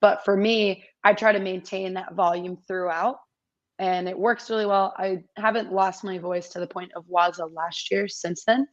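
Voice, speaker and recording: A woman in her twenties with a slightly raspy voice, chatting upbeat as a guest in an interview over a video call. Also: mid-range voice, fairly even intonation, normal speed, lo-fi sound. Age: 20s. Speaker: competition MC, guest. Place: small indoor room.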